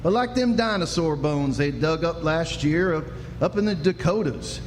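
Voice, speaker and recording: An actor playing a folksy, skeptical Texan sheriff in a live Western radio drama, with a drawling rustic way of talking. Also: male voice, on the high side, animated, normal speed, close to an everyday voice. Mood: musing, wry. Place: live stage performance indoors. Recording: noisy live stage, reverberant hall.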